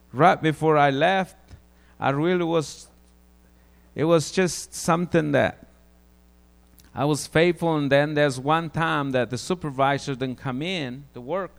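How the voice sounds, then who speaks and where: A man from Guatemala with a Guatemalan Spanish accent, giving an informal testimony sermon, halting and repeating words as he goes. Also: on the high side, gravelly. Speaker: preacher.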